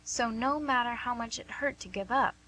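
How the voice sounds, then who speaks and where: Woman acting a bright, high-voiced young questioner. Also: moderate pace, poor audio. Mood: incredulous, teasing. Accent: American. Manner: questioning, conversational. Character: young, inquisitive, gently probing female listener. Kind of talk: radio play acting.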